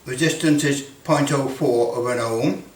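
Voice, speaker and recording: A British man giving a casual hands-on demonstration, halting as he thinks aloud. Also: mid-range voice. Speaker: presenter demonstrating electrical testing. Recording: roomy, distant mic with background noise.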